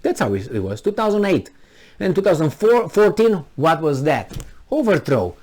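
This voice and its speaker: A man's voice: fairly high pitch, very animated, normal speed, darkish tone. A man with a Romanian accent, originally from Transylvania, delivering an argumentative political monologue full of rhetorical questions. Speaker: commentary channel host.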